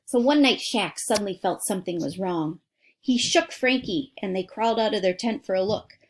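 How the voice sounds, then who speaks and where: A woman's voice: mid-range voice, normal speed, slight background noise. A woman reading a children's nonfiction story aloud like a teacher, with dramatic emphasis and direct asides to her listeners. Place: at home.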